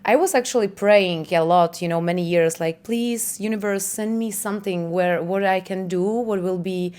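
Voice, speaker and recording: A woman's voice: medium pitch, moderate pace, a little mellow. A woman from the Republic, a fashion designer answering interview questions in an earnest, spiritual way, often adding "you know" and restarting sentences. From Czech Republic.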